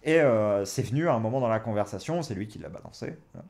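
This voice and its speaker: A man's voice: fairly low pitch, expressive, fast, darkish tone. A man in his twenties giving casual advice as a host, often hesitating as he thinks aloud. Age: 20s. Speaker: host, experienced game master giving advice. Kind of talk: casual chat, advice to beginners.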